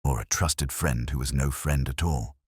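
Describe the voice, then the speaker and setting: A man voicing a guarded, grieving widow with quiet restraint in an audiobook mystery. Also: very deep voice, moderate pace, breathy edge, no echo, clean studio sound. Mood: guarded, subdued. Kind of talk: audiobook character dialogue.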